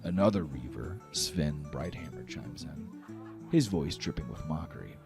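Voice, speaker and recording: A man with an extremely deep voice runs a tabletop game. He narrates scenes dramatically as game master, with faint music playing behind. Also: expressive, normal speed, gravelly.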